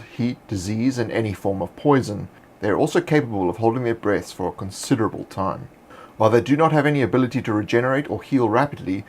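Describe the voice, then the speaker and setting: A man hosting, reading fantasy monster lore in a measured, scripted narration. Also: on the low side. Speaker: host.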